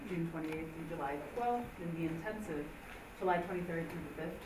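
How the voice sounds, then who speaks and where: A woman's voice: lowish voice, brisk. A woman on the program staff, plainly listing the trip dates. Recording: echoey room, distant mic, background noise.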